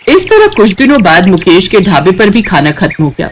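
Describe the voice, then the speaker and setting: Female storyteller, lively swinging intonation, scripted folk-tale narration. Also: mid-range voice, moderate pace, slight background noise.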